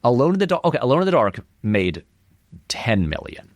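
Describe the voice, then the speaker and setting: A man's voice: mid-range voice, big pitch swings, a little fast, dry recording, studio quality. A man giving casual, conversational film commentary as an interview guest, often hedging and qualifying his points.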